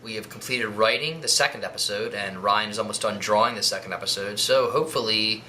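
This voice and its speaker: A man's voice: lowish voice, fairly quick, bright, forceful tone. A man speaking as a comic book writer in a documentary interview, with a dry, wry delivery. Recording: faint background noise.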